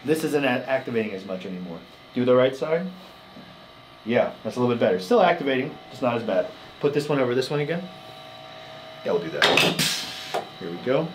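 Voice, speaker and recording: A man's voice: mid-range voice, sing-song, brisk, pauses often. Man, thirties, chiropractor, casual hands-on instructions, reassuring check-ins, small noisy room. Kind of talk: casual hands-on talk with a patient. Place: small indoor treatment room.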